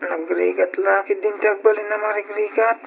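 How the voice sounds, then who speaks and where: A woman's voice: mid-range voice, flat delivery, moderate pace, vocal fry, slight background noise, natural voice. A woman playing a young, plaintive, resentful sibling in an old radio drama, with an Ilocano accent. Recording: dull old radio recording.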